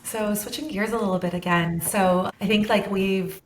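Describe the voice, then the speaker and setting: A woman's voice: medium pitch, fairly even intonation, moderate pace, roomy sound, a little hiss or hum. A woman co-hosting an interview over a video call, chatty and full of filler words. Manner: chatty, lots of "like" fillers, gives short reactions. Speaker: podcast co-host. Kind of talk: casual interview.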